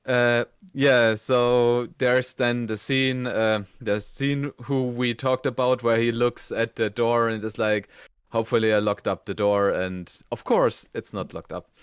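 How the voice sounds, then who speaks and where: A man co-hosting a casual review, retelling scenes in a hesitant, halting way. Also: mid-range voice, steady tone, clean tone, no echo, clean studio sound. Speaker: reviewer, co-host.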